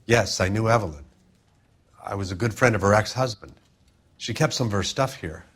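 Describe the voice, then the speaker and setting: A man's voice: fairly low pitch, normal speed, husky. An American actor playing a frank, resigned liquor store man in a video game, speaking matter-of-factly. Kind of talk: video game dramatic acting.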